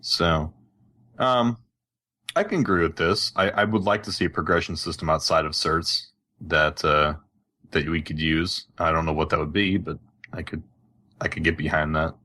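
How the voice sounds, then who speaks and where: A man with a very low voice that still sounds bright and forceful. He co-hosts a gaming podcast in a casual, conversational style. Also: steady tone, moderate pace. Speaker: podcast co-host. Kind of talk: casual gaming podcast chat, some reading aloud.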